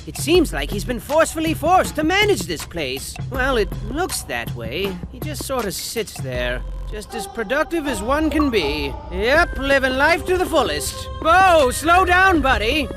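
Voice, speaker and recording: A man giving scripted comedic narration for a video game, theatrical and rambling, with game music behind him. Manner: theatrical, excitable, rambling, sudden pitch swings.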